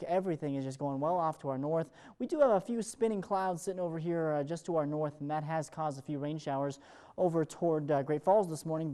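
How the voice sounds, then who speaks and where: A man's voice: fairly high pitch, warm tone. A man presenting a live morning weather forecast as a television meteorologist, in upbeat, ad-libbed broadcast patter.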